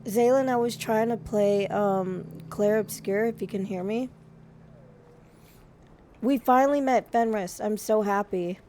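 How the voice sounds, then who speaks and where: A woman streaming live, chatting casually and gushing, her voice a little hoarse, with frequent restarts. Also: mid-range voice, a little slow, mellow, slight background noise. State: slightly hoarse.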